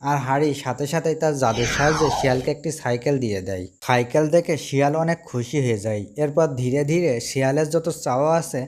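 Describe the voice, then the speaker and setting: A male storyteller with a medium-pitched voice. He delivers scripted narration for an animated fairy tale. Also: a little fast, few pauses, noisy background.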